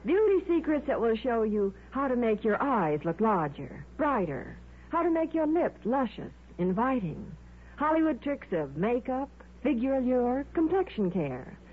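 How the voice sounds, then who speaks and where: A woman's voice: fairly high pitch, big pitch swings, a little slow, slight background noise. A woman reading a scripted 1950s beauty advertisement on radio, with an alluring, emphatic sales delivery. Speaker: radio commercial announcer. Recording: tinny old radio broadcast.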